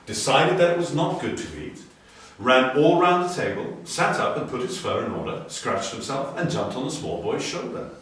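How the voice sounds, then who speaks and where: A man reading a children's story aloud with theatrical expression and clear enunciation. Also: fairly high pitch. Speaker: storybook reader. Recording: very echoey room, distant mic.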